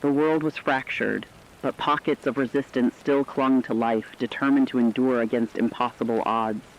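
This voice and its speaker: A man with a medium-pitched voice narrating a scripted apocalyptic story in a solemn, measured manner. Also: fairly even intonation, lo-fi sound, slight background noise.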